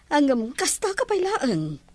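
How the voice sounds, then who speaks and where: A woman with an Ilocano accent playing a questioning, reproachful woman of the household in a radio drama, with a husky voice. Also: high voice, huge pitch swings, unhurried, dry recording, full character voice.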